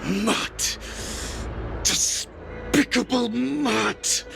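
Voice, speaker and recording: An actor playing a weakened, defiant healer in a game, with a strained, halting delivery. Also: male voice, very high voice, background noise, full character voice. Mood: bitter, defiant. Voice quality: creaky, strained. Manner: halting, deliberate. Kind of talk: dramatic video game voice acting.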